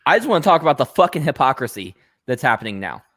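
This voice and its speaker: A man losing his voice from yelling, talking in a heated, profane way during a casual podcast chat. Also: mid-range voice, very animated, quick pace, no echo, clean studio sound. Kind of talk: casual chat among friends.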